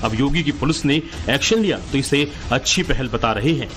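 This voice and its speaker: A man's voice: mid-range voice, animated, normal speed, fairly bright tone. A man reading a scripted Hindi news voice-over. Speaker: news narrator. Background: music.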